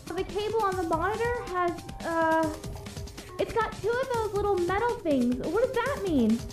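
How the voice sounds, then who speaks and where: Very high-pitched girlish young woman, acted by a woman. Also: lively intonation, moderate pace. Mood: unsure, puzzled. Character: girlish young woman Clover, perky and unsure. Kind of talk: fan dub of a visual novel game. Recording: roomy echo with music behind.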